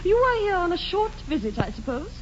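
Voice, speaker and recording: Muffled vintage radio, grand young English lady, upper-class accent, very high pitch, gushing, imperious, affected, emphatic. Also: very animated, a little fast. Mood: haughty, probing. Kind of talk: 1940s radio comedy acting. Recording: dull, lightly hissy 1940s radio recording.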